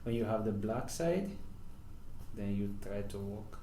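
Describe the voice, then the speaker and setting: A Nigerian man whose first language is Hausa speaks in a fairly low voice at a slow, unhurried pace. He pauses often and lets his sentences fade off softly as he answers questions. The room around him echoes, with a faint hiss of noise underneath. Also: lively intonation. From northern Nigeria. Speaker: podcast guest, professional footballer. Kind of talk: casual interview conversation.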